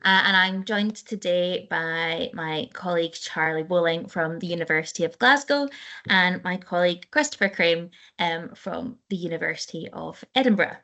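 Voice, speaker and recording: A woman's voice: fairly low pitch, moderate pace, bright, forceful tone. A woman from a university introducing her colleagues and the talk in a friendly way, with frequent "um"s. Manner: friendly, hesitant with fillers. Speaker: university representative. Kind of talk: introducing a presentation.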